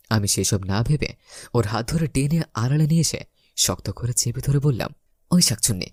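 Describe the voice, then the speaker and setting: A young man narrates a romantic comedy story in a theatrical, first-person way. His mid-pitched voice swings up and down with lots of expression, and the sound is dry and close. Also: a little mellow, dry recording. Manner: theatrical, acts out his lines.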